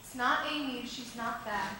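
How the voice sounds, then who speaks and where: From far off in an echoey, noisy hall comes a spirited young woman writer, acted by a student. Her voice is high and projected, with the excitable delivery of stage drama. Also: normal speed, close to an everyday voice. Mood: teasing, calm. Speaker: student actor in a school production. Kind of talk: stage musical acting, projected. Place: theater stage, distant microphone.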